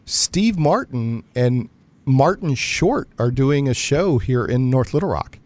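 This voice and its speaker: A man's voice: mid-range voice, animated, takes it slowly, a little mellow. A man hosting a radio show, chatting casually in a jokey, rambling way with frequent digressions. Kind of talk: casual, rambling interview chat.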